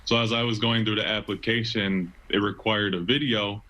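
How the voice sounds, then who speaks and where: A young American man in his twenties with a low, forceful, bright voice. He talks casually and earnestly as an interview guest, often feeling for his words. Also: steady tone, moderate pace, low-quality recording. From Chicago, United States. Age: 20s. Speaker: interview guest, stadium announcer.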